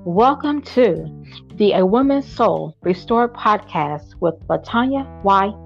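A woman reading a scripted podcast intro in a slow, measured way. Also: medium pitch, animated, vocal fry. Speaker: show announcer. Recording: dull lo-fi over guitar music.

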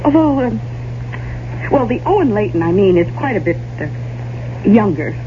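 An actress playing a suspicious, plucky female cruise social director in a 1970s radio drama, halting yet insistent. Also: mid-range voice, big pitch swings, natural voice. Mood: puzzled, suspicious. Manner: halting, then insistent and confrontational. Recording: muffled, hissy 1970s radio recording.

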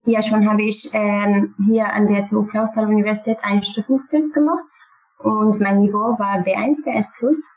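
A woman's voice: medium pitch, fairly even intonation, moderate pace, darkish tone, lo-fi sound. A woman from Syria with a Kurdish accent and Kurdish as her first language, talking about herself as an interview guest in simple, careful sentences. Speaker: podcast guest. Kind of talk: personal interview talk.

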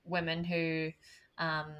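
A woman who runs her own business, speaking as a podcast guest in a measured, hedging way with frequent ums. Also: sits low, monotone, lo-fi sound, a little hiss or hum. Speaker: podcast guest, self-employed business owner. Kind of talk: casual conversational interview.

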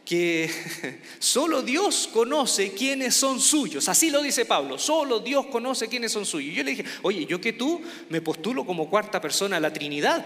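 A man's voice: sits high, sharp tone, in a large hall. A man from Chile with a Chilean accent, preaching an informal, conversational sermon with many restarts and a self-mocking tone. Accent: Chilean Spanish. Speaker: pastor.

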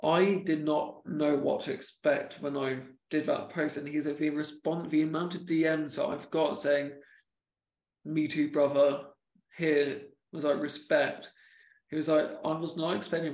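Gravelly man who stammers, halting. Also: fairly high pitch, flat delivery, a little mellow, reverberant, poor audio. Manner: halting, repeats words, speaks of own stammer. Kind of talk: casual podcast chat.

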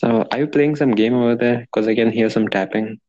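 A man's voice: medium pitch, steady tone, a little fast, mellow. A nineteen-year-old man from Dubai co-hosting a casual podcast over a call, easygoing and agreeable. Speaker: podcast co-host, engineering student. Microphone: call audio. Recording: dull call audio with faint noise.

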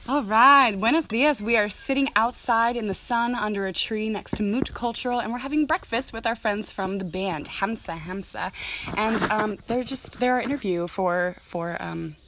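A woman is hosting a podcast interview in a casual, conversational way. She speaks at a middle pitch and often affirms what others say. There is faint noise and clicking in the recording. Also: normal speed, a bit bright. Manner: affirming, often chiming in with short agreement.

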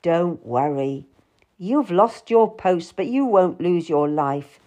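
Woman doing an angry cold guy in an audiobook, stern n accusing, swingy pitch, takes it slow. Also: expressive, dark tone. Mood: cold, urgent. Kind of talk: dramatised audiobook dialogue.

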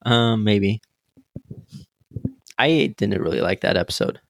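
A man's voice: on the low side, expressive, slow, vocal fry, dry recording, studio quality. A teenage man chatting as a podcast guest among friends, blunt and offhand. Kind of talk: casual chat among friends.

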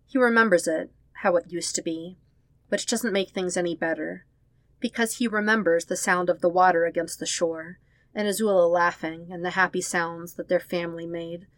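A woman reading a story as a scripted narration, expressive and leaning on the emotional words. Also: lowish voice, no room sound. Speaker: fan fiction podfic reader.

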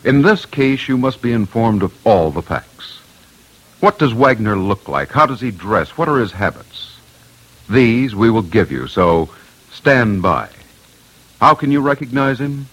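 A man hosting an old-time radio crime documentary, reading scripted narration in an emphatic, deliberate, dramatic way. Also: medium pitch, big pitch swings, fairly bright tone, rough recording. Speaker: host and reporter of a crime documentary radio show. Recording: old radio recording with music.

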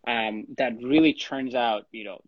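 Casual Bangladesh-born host, muffled dry audio. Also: male voice, medium pitch, unhurried, dry recording. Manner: casual and loose, full of "you know" and "like". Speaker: interview host. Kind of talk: interview questions, conversational.

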